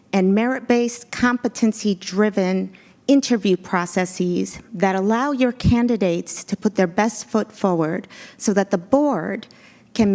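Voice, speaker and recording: A woman whose first language was Spanish gives a formal presentation in a board meeting room. She speaks in a measured, earnest way, with a mid-range voice that is neither high nor low. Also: darkish tone. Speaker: executive search consultant presenting to a school board.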